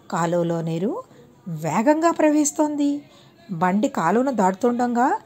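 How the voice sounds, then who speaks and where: A woman's voice: on the high side, dark tone. A woman narrating a scripted moral tale for children as an audiobook storyteller.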